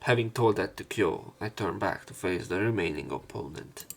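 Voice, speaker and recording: A male let's play narrator with a fairly low voice. He reads the text of a visual novel aloud, line by line, as a story. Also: lively intonation, moderate pace, vocal fry, slightly rough, faint music behind.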